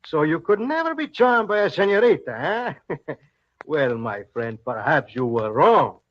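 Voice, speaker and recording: An actor playing a jovial colonial soldier in an old-time radio drama. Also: male voice, fairly high pitch, big pitch swings, unhurried. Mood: teasing, amused. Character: Spanish colonial soldier, jovial and teasing, with authority. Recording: dull lo-fi old radio recording.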